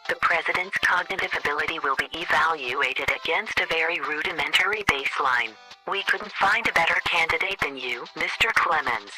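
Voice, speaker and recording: A man playing a coolly condescending female government official, leaving a formal, deadpan bureaucratic voicemail in a radio comedy. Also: fairly high pitch, low-quality recording. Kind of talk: scripted comedy radio monologue.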